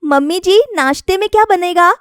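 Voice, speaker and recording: Woman playing an earnest, high-voiced young daughter-in-law. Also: normal speed, fairly bright tone, no echo, clean studio sound. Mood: eager, dutiful. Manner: earnest, plaintive. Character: eager-to-please, wistful young daughter-in-law, often hurt.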